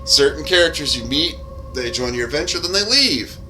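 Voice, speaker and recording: A man's voice: a little high, animated, fairly quick, poor audio. Casual man commentating over background music. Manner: rambling, explanatory, casually profane. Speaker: game streamer and modder.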